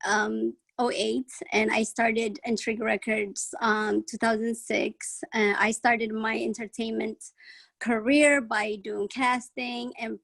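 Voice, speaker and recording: A middle-aged woman from Ethiopia, a record label head, talking casually as a panel guest over a video call, halting with many pauses and restarts. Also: a little high, flat delivery, vocal fry, fairly bright tone, no echo, poor audio.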